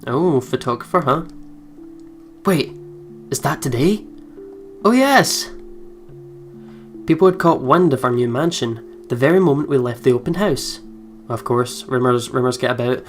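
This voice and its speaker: A man doing let's play commentary, reading game narration aloud in an expressive way with playful asides. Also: mid-range voice, unhurried, long pauses. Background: soft game music with guitar.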